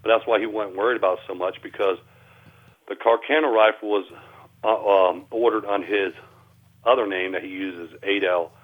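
A man's voice: lowish voice, fairly even intonation, normal speed. A man talking as an interview guest over a thin phone line, explaining things in a casual, plainspoken, folksy way. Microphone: telephone.

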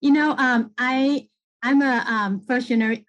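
Higher-pitched middle-aged woman from Taiwan, halting. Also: fairly even intonation, fairly bright tone, dry recording, lo-fi sound. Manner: halting, conversational, punctuated with "you know". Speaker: political candidate, interview guest. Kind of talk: casual campaign interview talk.

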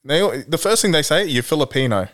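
A young man with an Australian accent, telling stories in relaxed podcast chat, full of fillers like "you know". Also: a little high, fast talker. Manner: relaxed storytelling with many fillers. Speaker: podcast guest.

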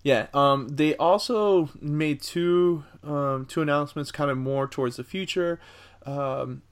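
Man, halting casual podcast host. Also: fairly high pitch, smooth. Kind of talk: casual entertainment news discussion.

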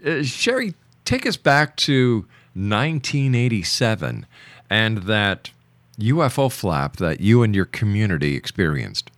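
A man's voice: fairly low pitch, very animated, unhurried, fairly bright tone, dry recording. A man speaking as a radio show host, introducing and interviewing in a broadcast style.

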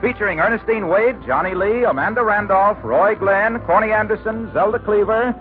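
A man's voice: high voice, moderate pace, faint music behind. A man announcing and narrating a scripted mid-century radio comedy in the style of that era. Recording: tinny old radio recording.

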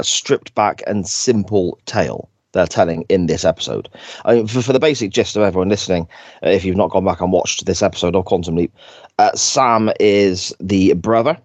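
A man hosting a podcast in casual chat, croaky from a sore throat, rambling and correcting himself as he goes. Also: deep voice, no echo. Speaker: podcast host.

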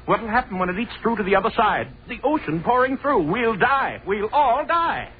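A man's voice: very high-pitched, expressive, normal speed. A man playing a hysterical, doom-fearing character in 1930s radio horror style. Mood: hysterical dread. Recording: tinny, noisy 1930s radio recording.

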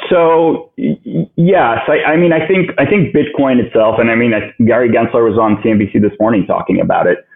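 A man from Ukraine whose first language is Russian, a podcast guest answering in a halting way that thinks aloud with many restarts, heard over a phone-line recording. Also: mid-range voice, creaky. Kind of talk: casual interview answers. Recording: tinny phone-line sound.

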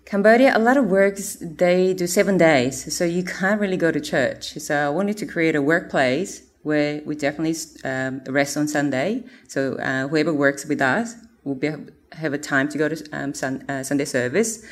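A woman with a notably low, deep voice talks through her mission work. She speaks earnestly, stopping often with fillers as she gathers her thoughts. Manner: halting, many fillers, earnest. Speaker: missionary presenter. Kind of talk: church mission presentation.

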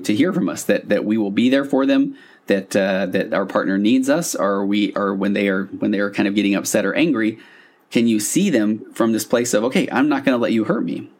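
A man's voice: on the low side, darkish tone. A middle-aged man hosting a podcast as a couples therapist, explaining things in a conversational, rambling way with examples and quoted asides. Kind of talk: informal explanatory podcast monologue.

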